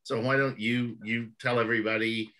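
A man hosts a video call panel in a friendly, slightly hesitant way, full of fillers. His voice is bright and clear-edged. His pitch sits mid-range. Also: level tone, normal speed, slight background noise. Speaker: panel host.

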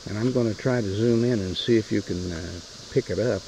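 A man giving casual, unhurried, plain-spoken narration for a fishing vlog, recorded outdoors on a creek with a handheld camera. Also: on the low side. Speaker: angler filming his fishing trip. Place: outdoors in a float tube on a creek. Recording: outdoor creek noise with insects. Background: insects and natural outdoor ambience.

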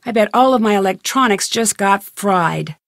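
A woman playing a young, curious and determined amateur detective in a video game, thinking aloud in clearly articulated scripted lines. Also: mid-range voice, expressive, moderate pace, a bit bright, dry recording, studio quality. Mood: musing, focused.

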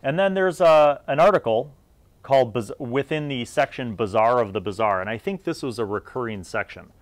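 A man's voice: mid-range voice, normal speed. A middle-aged man giving a casual, unscripted review as a video host, rambling conversationally and thinking aloud as he goes. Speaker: video host reviewing old gaming magazines.

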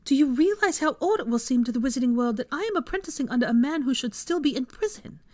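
A woman's voice: high-pitched, expressive, fast talker, dark tone, no echo, pitched above the performer's own voice. A woman voicing a hot-tempered young witch in an audiobook story, indignant, exasperated and emphatic. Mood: incredulous.

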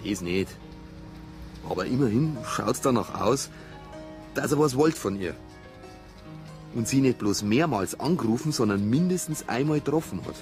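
An actor playing a dry, persistent police detective with a Bavarian accent and a colloquial, matter-of-fact manner. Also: male voice, medium pitch, animated, moderate pace, long pauses, darkish tone, soft background music, lightly acted. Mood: reasoning.

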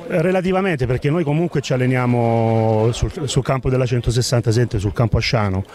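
A man's voice: mid-range voice, brisk, a little mellow, a little hiss or hum. A middle-aged man speaking as a football coach in an outdoor post-match interview into a handheld microphone. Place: outdoors beside a sports ground. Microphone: handheld reporter microphone.

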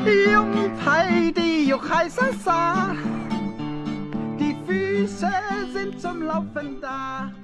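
Very high, plaintive little hedgehog boy. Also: loud music behind the voice, heavily acted. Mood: singing bravely. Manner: slow, plaintive, theatrical speech with sighs and exclamations. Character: little male hedgehog, sad and aggrieved, setting off alone. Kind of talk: children's radio play acting.

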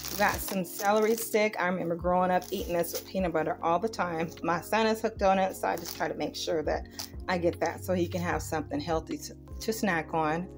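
A woman's voice: on the low side, fairly even intonation, a little fast. A woman vlogger in her home kitchen, casually and informally listing items to viewers as she shows them. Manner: informal, colloquial grammar, itemizing. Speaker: vlogger showing a grocery haul. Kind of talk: casual chat to viewers, product show-and-tell. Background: music.

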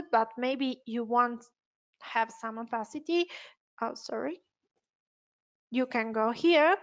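A woman with an Italian accent presenting a step-by-step software tutorial, hesitant and unscripted, often correcting herself. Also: a little high. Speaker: webinar presenter, software trainer.

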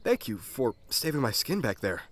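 A man voicing an earnest, awkward young college student in a comic dub, with an expressive manner. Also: medium pitch, normal speed, slight background noise, natural voice. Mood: friendly, modest. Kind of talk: comic dub character acting. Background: music.

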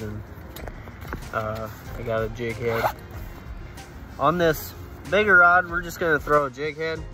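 A man's voice: medium pitch, bright, forceful tone. An American man chatting casually to viewers in a folksy, plain-spoken way as he presents an outdoor fishing vlog. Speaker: fishing vlogger. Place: outdoors by a river.

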